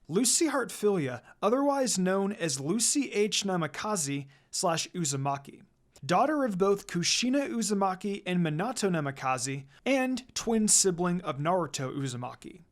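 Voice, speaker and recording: A man's voice: sits high, lively intonation, moderate pace. A man reading fan fiction aloud as a scripted story narration.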